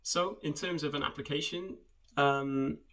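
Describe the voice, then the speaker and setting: A man, talking in a friendly, conversational way with hesitant pauses as he introduces himself and his work for students. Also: on the high side, fairly even intonation, a bit bright. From rural southwest Wales. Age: 20s. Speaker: junior footwear developer. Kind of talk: informal self-introduction video for students.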